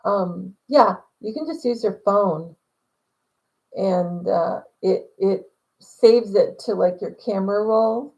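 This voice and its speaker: A woman hosting a casual livestream, answering viewer questions in a quiet voice, rambling with many fillers and restarts. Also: mid-range voice, takes it slowly, vocal fry, poor audio. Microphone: phone.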